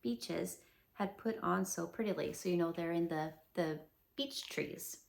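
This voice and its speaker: Woman, storybook read-aloud, chatty asides, gravelly voice. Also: on the low side, a little slow. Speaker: storybook reader. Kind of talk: children's read-aloud narration.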